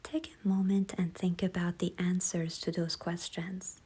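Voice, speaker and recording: Slow, breathy woman guiding a meditation. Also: on the low side, level tone. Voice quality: soft, breathy, hushed. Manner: gentle, unhurried, soothing. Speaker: guided visualization facilitator. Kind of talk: slow guided meditation narration.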